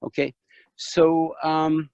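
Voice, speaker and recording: A man with a French accent, a winemaker giving a live tour of his vineyard over a video call, pausing often with fillers and restarts. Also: a little high, little pitch movement, darkish tone, no room sound. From France. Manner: halting, many fillers and restarts. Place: outdoors in a vineyard on a hot day. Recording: dull lo-fi video call sound.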